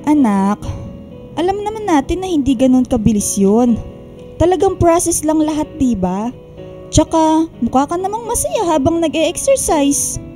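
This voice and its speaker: A woman's voice: high-pitched, very animated, moderate pace, mellow, faint music behind. A woman playing a caring older Filipino mother in a radio drama, fretting and scolding with motherly emphasis. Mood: coaxing.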